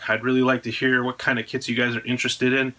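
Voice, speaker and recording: Bright-voiced American man, casual vlog talk. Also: medium pitch, level tone, fast. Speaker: drone frame designer presenting own video. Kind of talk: casual product announcement to camera. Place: indoor hobby room at home.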